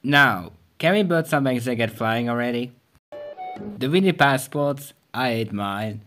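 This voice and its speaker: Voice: medium pitch, animated, music in the background, lightly acted. A performer voicing a cheeky, wisecracking cartoon kid with a glib, sarcastic manner in a fan dub. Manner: glib, quick-witted, sarcastic.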